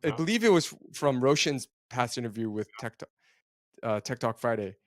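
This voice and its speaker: Male interviewer, mid pitch, chatty and loose, dry room. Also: lively intonation, fairly quick, dry recording. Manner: chatty, rambling questions. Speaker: podcast interviewer. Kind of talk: casual interview questions.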